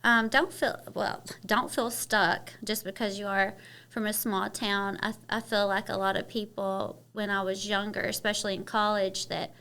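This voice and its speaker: A woman's voice: medium pitch, flat delivery, moderate pace, pushed and bright, clear, resonant tone. A woman from Kentucky with a Southern twang, chatting openly as a podcast guest and using many "you know" fillers. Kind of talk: casual interview chat.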